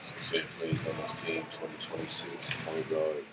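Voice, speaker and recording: A man's voice: very deep voice, monotone, normal speed. A middle-aged man talking casually as a guest in an interview. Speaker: father of a youth player, interviewee. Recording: very noisy room, slightly distant mic.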